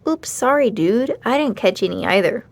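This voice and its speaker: A woman's voice: a little high, expressive, moderate pace, vocal fry. A woman voicing a cheerful, joking young boy in a children's storybook. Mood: sheepish. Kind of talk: children's storybook character dialogue.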